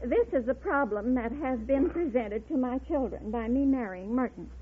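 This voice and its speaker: A woman's voice: high-pitched, moderate pace, breathy edge. A woman in her sixties, a quiz show contestant chatting and telling anecdotes on an old radio broadcast. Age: 60s. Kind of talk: chatty answers and anecdotes on stage. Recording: old radio recording, very noisy, narrow-band, loud audience. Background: loud studio audience.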